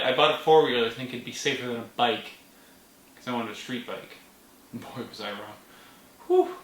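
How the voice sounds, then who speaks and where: A person telling a story in a casual vlog manner, animated, with playful exclamations. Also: mid-range voice. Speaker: vlogger. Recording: roomy, distant mic with faint noise.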